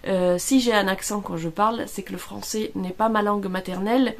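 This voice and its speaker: Gravelly-voiced Italian woman, hesitant. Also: mid-range voice, steady tone, fairly quick. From Italy. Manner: hesitant, many fillers and restarts. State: tired after illness. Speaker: vlogger. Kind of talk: casual chat. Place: home.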